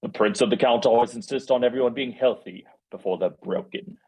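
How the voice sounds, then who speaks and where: Man reading as a husky, grim dungeon keeper. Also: mid-range voice, a little fast, a little mellow, natural voice, poor audio. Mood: grim warning. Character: grim, menacing albino torturer's keeper of a dungeon. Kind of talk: live script table read.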